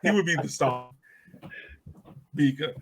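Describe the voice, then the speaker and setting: A man's voice: a little high, measured pace, fairly bright tone, a little hiss or hum. A man co-hosting a soccer podcast in casual chat, speaking emphatically and repeating phrases for effect.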